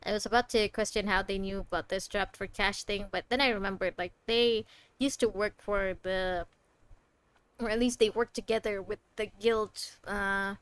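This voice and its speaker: A woman's voice: medium pitch, steady tone, normal speed, fairly bright tone, smooth, dry recording. A woman doing casual game playthrough commentary, playfully teasing and talking back to the characters on a close microphone. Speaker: game streamer.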